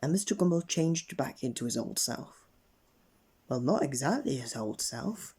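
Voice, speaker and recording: A man narrating a children's bedtime story in an expressive read-aloud manner. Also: medium pitch, normal speed, slightly rough. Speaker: storybook reader.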